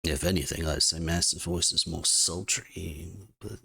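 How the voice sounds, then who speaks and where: Casting: man voicing a sly little imp, deep and gravelly, evasive and fussy. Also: fairly even intonation, measured pace, pushed and bright, exaggerated voice. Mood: coy. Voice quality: scratchy, gravelly. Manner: hedging, loyal to his master. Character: scratchy-voiced male imp servant, evasive.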